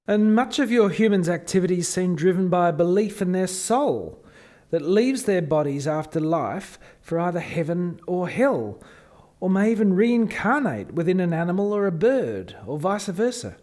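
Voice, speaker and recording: Radio play alien questioner, male actor: high for a man, lively intonation, formal and inquiring. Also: normal speed. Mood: questioning. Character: probing male alien official questioning the creators.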